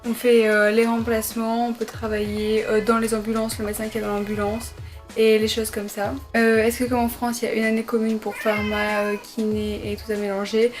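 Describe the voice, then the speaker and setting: A young woman talks to camera from her bedroom, answering viewer questions one by one in a fairly high but flat voice. She hesitates often, stopping to correct herself along the way. Faint music plays under her voice. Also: a little fast. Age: young adult. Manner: hesitant, many fillers and self-corrections. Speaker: medical student vlogger. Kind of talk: casual sit-down Q&A vlog. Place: her bedroom at her parents' home.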